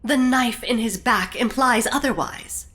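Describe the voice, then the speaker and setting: A woman's voice: a little high, a little slow, fairly bright tone. A woman playing an impatient, arch dinner guest with a dry manner in a comedy audio drama.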